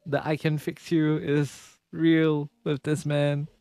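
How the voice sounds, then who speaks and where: A man's voice: a little high, level tone, takes it slowly, darkish tone, no echo. A man reacting casually on a live game stream, talking sympathetically back to the characters.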